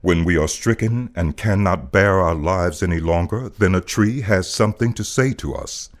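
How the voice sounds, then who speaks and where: A male voice-over artist giving a solemn, dramatic reading of poetic prose, with deliberate and theatrical emphasis. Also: low-pitched. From United States.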